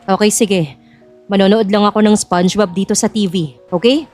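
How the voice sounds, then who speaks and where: Babaeng gumaganap na tusong sakim na tiyahin. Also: female voice, medium pitch, moderate pace, a little mellow, faint music behind, close to an everyday voice. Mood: casual. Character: scheming greedy aunt, casual and sly.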